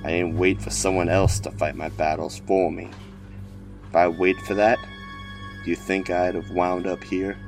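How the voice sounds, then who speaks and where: A man's voice: low voice, level tone, normal speed, darkish tone, soft background music. A man playing a weird, smugly menacing city mayor in an audio drama, speaking in a grandiose, speechifying way. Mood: brooding, menacing.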